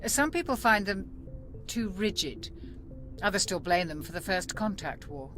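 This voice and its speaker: Measured female ship's doctor, mid-pitched woman acting. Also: animated, a bit bright, soft background music, lightly acted. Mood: matter-of-fact. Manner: measured, matter-of-fact. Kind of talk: video game voice acting.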